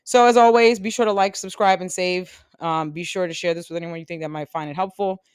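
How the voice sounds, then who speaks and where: A sales career coach around forty gives a casual, encouraging lesson to viewers, with quick laughs and self-corrections. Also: medium pitch, steady tone, clear, resonant tone, no echo, clean studio sound. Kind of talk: casual instructional talk to viewers.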